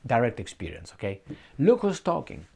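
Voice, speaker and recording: Man, mid-pitched voice, opinionated unscripted monologue, halting delivery, repetitive, colloquial, rhetorical questions. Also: wildly swooping pitch, vocal fry, a little mellow, breathy edge. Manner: colloquial, blunt. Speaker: political commentator.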